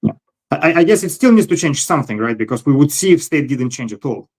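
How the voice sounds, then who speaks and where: A man's voice: on the high side, expressive, quick pace, slightly rough. Man thinking aloud in technical chat. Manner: tentative, thinking aloud, repeats words. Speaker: host. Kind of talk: casual technical discussion.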